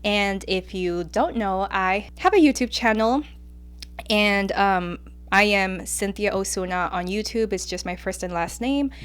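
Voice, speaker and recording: A woman in her late twenties hosts a solo podcast, talking casually with lots of "um" and "like" fillers. Her first language is Spanish, and a light Mexican accent colors her English. Her voice sits at a middling pitch. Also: unhurried. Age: 20s. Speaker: podcast host.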